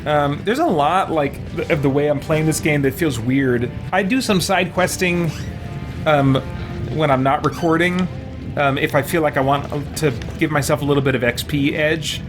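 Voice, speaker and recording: A man's voice: on the high side, normal speed. A man streaming a video game, giving casual let's-play commentary and thinking aloud in rambling, chatty asides. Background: video game music.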